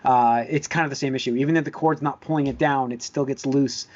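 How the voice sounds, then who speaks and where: A man's voice: medium pitch, steady tone, a little fast, lo-fi sound. A man hosting a podcast in casual chat, rambling and easily sidetracked as he talks. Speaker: podcast host.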